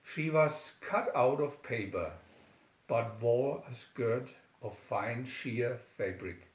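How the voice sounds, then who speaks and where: A man reads a children's fairy tale in a halting, deliberate way. His pace is very slow and his pitch sits in the middle for a man. The room is echoey around his voice. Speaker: storybook reader. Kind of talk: scripted fairy tale narration. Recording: echoey room, dull lo-fi sound.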